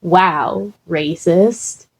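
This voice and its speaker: A woman's voice: on the low side, drawn-out words, full character voice. A woman voicing a casual, chatty ghost with a flippant manner in a story reading.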